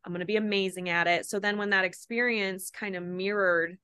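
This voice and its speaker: A woman in her thirties, a podcast guest, telling her story in a bubbly, animated way with quick laughs. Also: medium pitch, smooth, no room sound. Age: 30s. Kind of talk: casual interview chat.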